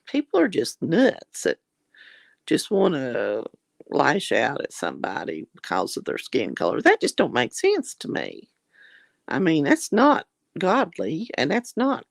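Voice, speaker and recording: Slow, gravelly woman losing her voice. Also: mid-range voice, dry recording, studio quality. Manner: folksy, calls viewers honey and darlings; religious, often says Lord have mercy. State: losing her voice, clearing her throat. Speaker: vlogger reading viewer comments. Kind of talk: casual live chat.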